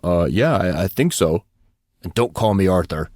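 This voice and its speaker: A man's voice: low-pitched, lively intonation, normal speed, darkish tone, no echo, studio quality. A man voicing a laid-back, teasing teenage boy with slangy, hesitant speech in an audiobook drama. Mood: awed, casual.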